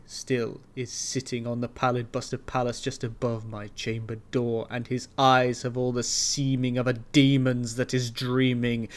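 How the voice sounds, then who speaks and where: A man giving a dramatic reading of gothic poetry in a theatrical, measured manner. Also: mid-range voice. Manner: theatrical, measured, swelling from hushed to loud. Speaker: narrator.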